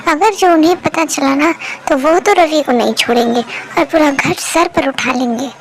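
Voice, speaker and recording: A woman's voice: high-pitched, normal speed. A woman voicing a worried, stubborn village mother in a children's moral story cartoon.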